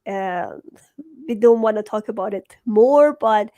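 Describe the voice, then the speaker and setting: A woman's voice: medium pitch, measured pace, warm tone, no echo. A woman with a Persian accent, a native Farsi speaker, hosting a conversational interview. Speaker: host, book coach.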